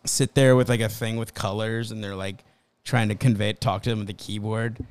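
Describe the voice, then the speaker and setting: A man's voice: lowish voice, brisk, smooth. A young man co-hosting a podcast, joking and ranting in casual chat with friends. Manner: laughing, joking, animated rants. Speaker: podcast co-host.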